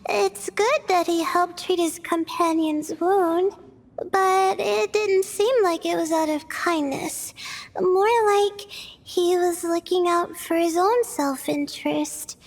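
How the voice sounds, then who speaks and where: A woman's voice: extremely high pitch, slow, long reverb tail, heavily acted. A woman voicing a timid, modest teenage student in an English game dub, hesitant and self-doubting. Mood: unsure, earnest.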